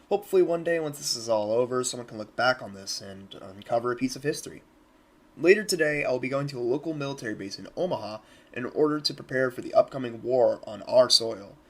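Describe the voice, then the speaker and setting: A man acting as an eager American soldier of twenty, reading his journal aloud in an audio drama. He talks quickly in a slightly rough, husky voice, earnest and plain-spoken. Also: mid-range voice, a bit bright. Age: young adult. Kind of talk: scripted first-person journal narration.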